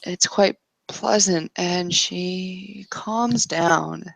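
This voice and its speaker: A woman improvising narration for a tabletop roleplaying game in a halting, tentative way. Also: fairly low pitch, gravelly, no room sound, rough recording. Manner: halting, tentative, piecing sentences together.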